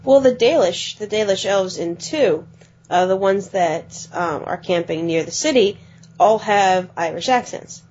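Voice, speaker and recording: A woman co-hosting a podcast, chatting quickly about video games and often letting her phrases trail off. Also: mid-range voice. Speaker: podcast co-host. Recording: dull lo-fi podcast audio.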